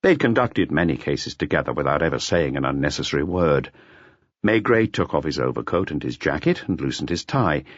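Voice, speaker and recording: A man's voice: very deep voice, animated, brisk, no echo. A man narrating a scripted audiobook in a dramatic storytelling style. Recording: slightly dull, dry audiobook recording.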